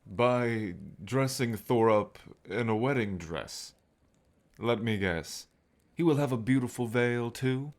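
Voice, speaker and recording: A man's voice: mid-range voice, slow pace, lightly acted. A man acting in a fantasy audio roleplay as a level-headed, amused god with a dry, sardonic delivery.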